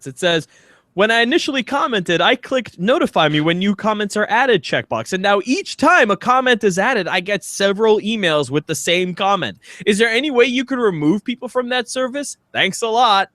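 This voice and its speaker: A man's voice: high-pitched, lively intonation, normal speed, sharp tone, dry recording. A man co-hosting a comedy podcast, bantering casually and doing comic readings. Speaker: podcast co-host.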